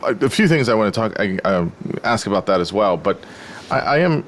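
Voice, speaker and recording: A man's voice: fairly low pitch, expressive, fairly quick, slight background noise. A man from the United States hosting an interview podcast, asking loose, rambling questions in a casual way. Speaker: podcast host.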